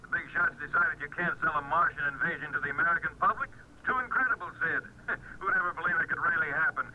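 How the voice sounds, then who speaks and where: A man's voice: fairly high pitch, fast talker, natural voice. An actor playing a slangy, incredulous male show-business agent on the telephone in a 1950s radio drama. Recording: tinny telephone sound on old noisy radio.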